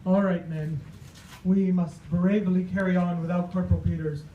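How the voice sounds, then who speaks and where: A man acting as a brave Revolutionary War general pitched high, declaiming heroically as in a radio play parody. He is grandly earnest, heard from far off in a roomy, noisy space. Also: level tone, normal speed, dull audio, lightly acted. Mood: resolute. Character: General George Washington, brave solemn commander in a storm. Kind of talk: old-time radio drama parody on stage. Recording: distant, echoey live stage with noise.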